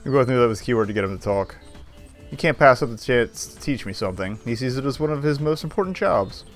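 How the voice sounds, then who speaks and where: A man streaming a visual novel reads the narration aloud in a medium-pitched voice. He is wry and drops sardonic asides. Soft music runs behind him. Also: expressive, quick pace. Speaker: game streamer.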